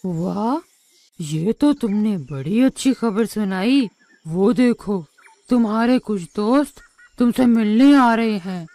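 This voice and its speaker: A woman's voice: medium pitch, animated, takes it slowly, mellow, dry recording. A woman voicing a clever, cool-headed male rooster in a children's cartoon story, teasing with mock innocence. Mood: cool, sly. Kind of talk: children's animated moral story acting.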